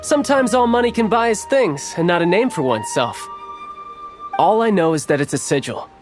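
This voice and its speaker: An actor playing a suave, playful young envoy in a game cutscene, smooth, teasing and glib. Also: male voice, high voice, animated, brisk, over music. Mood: smooth, explanatory.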